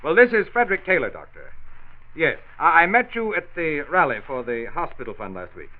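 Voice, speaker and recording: An actor playing a hot-tempered, driven factory owner in a 1940s radio drama, blustering and emphatic. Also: male voice, on the high side, wildly swooping pitch, brisk. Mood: earnest, pressing. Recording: muffled, noisy 1940s radio transcription.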